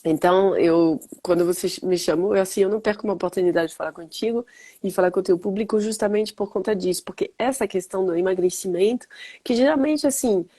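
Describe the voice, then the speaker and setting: A woman's voice: mid-range voice, fast, mellow. A French woman speaking Portuguese as a guest biologist, stuffy-nosed from a cold, talking in a reflective way with hesitations. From France. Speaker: guest biologist and holistic therapist. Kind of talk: casual interview talk. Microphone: video call mic.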